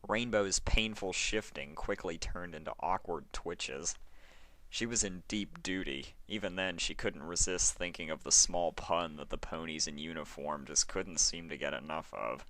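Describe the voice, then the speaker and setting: A man reading scripted story narration as a narrator, in a dramatic, measured storytelling manner. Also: low-pitched, bright, forceful tone, dry recording, rough recording.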